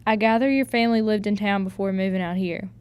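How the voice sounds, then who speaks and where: A woman's voice: medium pitch, fairly even intonation, fast talker, a little mellow, clear, resonant tone, no room sound, close to an everyday voice. A woman playing a plucky fourteen-year-old Irish servant girl in a radio drama, earnest, deferential and folksy. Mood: earnest pleading.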